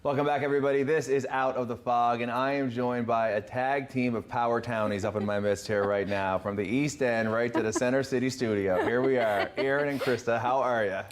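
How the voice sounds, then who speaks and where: A male TV talk show host with a mid-pitched voice. He interviews in an informal, effusive and encouraging way from a studio. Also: fairly even intonation, a little fast, few pauses, a little hiss or hum.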